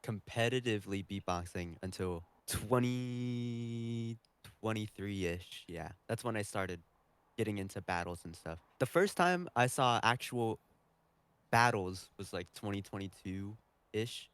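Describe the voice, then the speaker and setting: Male interview guest, deep-leaning smooth voice, casual talk, dry recording. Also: measured pace, fairly bright tone, no echo. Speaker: podcast guest, competitive beatboxer.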